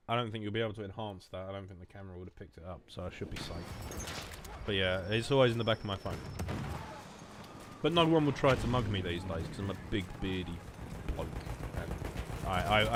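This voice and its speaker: Male, late 20s, southern English accent. Lower register, sweary casual streamer banter, clear game noise behind. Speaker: game livestreamer. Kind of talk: casual rambling chat while gaming.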